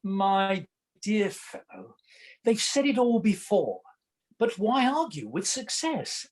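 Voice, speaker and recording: A man's voice: high-pitched, big pitch swings, slow pace, heavily acted. A British man acting a self-assured, wry Victorian comic opera writer in a radio play, with a dry, formal manner. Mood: dismissive.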